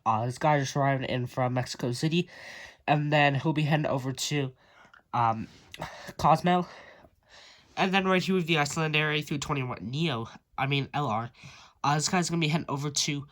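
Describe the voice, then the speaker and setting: A young man with a stuffed-up, congested voice talks casually and a bit high, filling pauses with lots of ums and uhs. He narrates a relaxed video tour as a hobby channel host. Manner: chatty with frequent ums and uhs. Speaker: model airport YouTuber.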